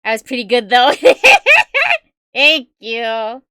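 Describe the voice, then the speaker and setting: A woman's voice: a little high, wildly swooping pitch, drawn-out words, pushed and bright, clear, resonant tone, dry recording, studio quality. A woman streamer chatting casually with viewers in a tiny, cute-sounding voice, giggling often and rambling with fillers. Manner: giggly, laughs often between remarks; rambling, with fillers and self-corrections. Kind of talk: casual livestream chat with viewers.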